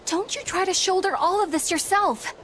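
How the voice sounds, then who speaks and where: A woman's voice: extremely high pitch, moderate pace, a bit bright, a little hiss or hum. An actress playing an earnest, dutiful young woman exorcist in a video game, speaking sincerely. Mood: firm. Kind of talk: dramatic video game voice acting.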